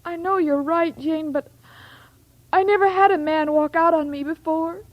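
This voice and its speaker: A woman's voice: extremely high pitch, moderate pace, dull audio. An actress playing a sweet, dim-witted young woman in an old radio sitcom, earnest and naive, with a girlish voice. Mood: tearful, mournful. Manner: naive, earnest delivery of muddled sayings. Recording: noisy old radio recording, loud audience.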